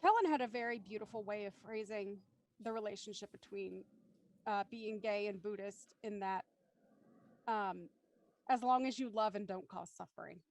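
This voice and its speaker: A woman's voice: mid-range voice, moderate pace. A woman speaking as a panelist in a discussion, articulate and reflective, setting out considered thoughts.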